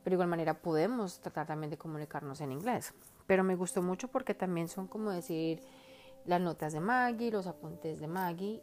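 Warm low-voiced woman hosting a podcast. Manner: earnest and heartfelt, rambling with many fillers. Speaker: podcast host. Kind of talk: casual personal monologue.

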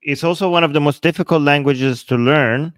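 A man hosting a casual panel discussion, sarcastic, mocking arguments with quick imitated quotes. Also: on the high side, no room sound, studio quality. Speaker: host.

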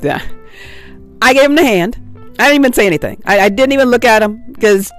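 A woman's voice: a little high, lively intonation, fairly quick, soft background music. A woman with a nasal voice hosting her own unscripted solo podcast, chatting frankly and telling a story. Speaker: podcast host.

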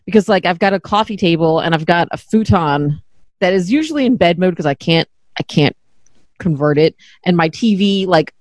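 A woman's voice: on the low side, animated, normal speed, a little mellow, dry recording. A middle-aged woman hosting a podcast in casual chat, candid and self-deprecating, swearing freely. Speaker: podcast host.

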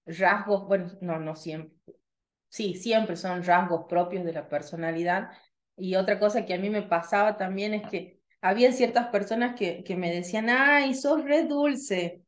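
A woman in her thirties from Tucumán with a slightly softened Tucumán Argentine accent, co-hosting a casual radio podcast chat with trained, clear articulation. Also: mid-range voice, measured pace. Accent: Tucumán Argentine Spanish, slightly softened. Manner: chatty, lively, trained articulation.

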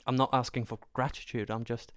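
Danish guy arguing his opinions in a chat, emphatic, smooth clear voice, really dry sound. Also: mid-range voice, flat delivery, a little fast, a bit bright, no echo. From Denmark. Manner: emphatic, argumentative, repeats points in parallel phrases. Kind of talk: opinionated conversational discussion.

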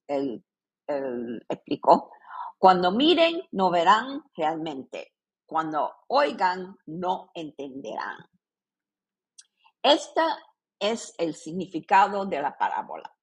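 Slow-speaking Puerto Rican woman, husky voice. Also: fairly low pitch. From Puerto Rico. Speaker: religious podcast host. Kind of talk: scripted devotional narration and scripture reading.

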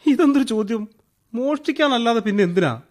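A man's voice: extremely high pitch, animated, fairly quick. An actor playing a nervous burglar in a radio play, muttering to himself as he sneaks through a house, then pleading when caught.

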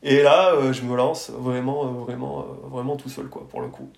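A man's voice: mid-range voice, fairly even intonation, normal speed, vocal fry, darkish tone. A man from France talking casually as an interview guest, telling his story in a hesitant, colloquial way full of verbal tags. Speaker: architect, podcast guest. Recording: roomy echoing sound.

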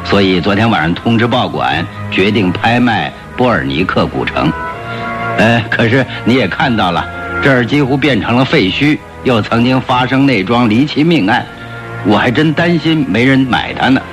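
An actor playing a gentle, courteous older marquis in a radio drama, speaking politely with hesitant interjections. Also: male voice, medium pitch, animated, moderate pace, over music, close to an everyday voice. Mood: earnest, mild. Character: gentle, courteous grey-haired aristocratic marquis, a father.

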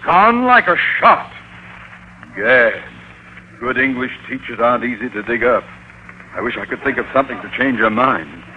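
An actor playing a blustery, suspicious, pompous middle-aged school principal in vintage radio comedy style, with an accusing manner. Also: male voice, mid-range voice, lively intonation, normal speed, pauses often, close to an everyday voice. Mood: exasperated. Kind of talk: 1940s-50s radio comedy acting. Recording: dull old radio recording, faint noise, audience.

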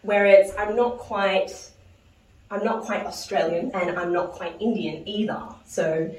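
A woman's voice: mid-range voice, steady tone, measured pace. A woman from New Zealand, a guest on an interview show, who talks reflectively, laughs often and restarts her phrases. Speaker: guest investor. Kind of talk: conversational interview. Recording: echoey room, mic at a distance.

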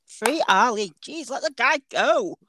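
A woman co-hosting a podcast in casual chat, teasing with sarcastic, deadpan remarks. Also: a little high, unhurried, no echo. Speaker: podcast co-host.

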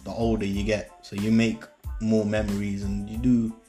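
Calm, dry-humoured young man, lowish voice. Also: normal speed, faint music behind. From South Africa. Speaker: podcast guest. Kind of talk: casual podcast chat.

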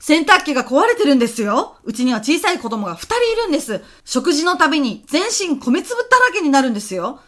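Woman as an animated, high-voiced exasperated housewife. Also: a little fast, few pauses. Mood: indignant. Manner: expressive, easily exasperated. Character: exasperated, outspoken housewife and mother calling support. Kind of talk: comedy audio drama acting.

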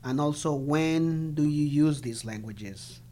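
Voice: mid-range voice, mellow, a little hiss or hum. Encouraging interviewer from Colombia. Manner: encouraging, asks in halting restarts. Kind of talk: casual interview.

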